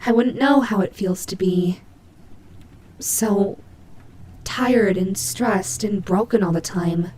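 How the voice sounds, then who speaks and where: A woman's voice: mid-range voice, slow pace, long pauses, darkish tone, slight background noise, lightly acted, poor audio. A woman playing a prickly but caring female nightmare demon rabbit in an audio roleplay, speaking to the listener with hesitant, teasing, flustered delivery. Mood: soft, pitying.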